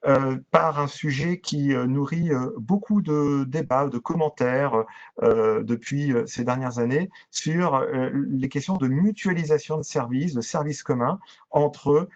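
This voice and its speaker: The sound is slightly dull, like a video conference. A man with a medium-pitched voice presents training material in a formal, methodical way. He halts often, stretching filler sounds as he works through each explanation. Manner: very hesitant, halting delivery with constant drawn-out filler sounds. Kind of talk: explanatory online presentation to local councillors. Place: video conference with shared screen. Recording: dull, lo-fi video-call audio.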